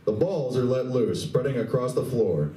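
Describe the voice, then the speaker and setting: Male narrator, mid pitch, lively intonation, soft tone, scripted radio play reading, roomy distant recording. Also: normal speed. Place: indoor convention room, live reading. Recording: distant, echoey convention room.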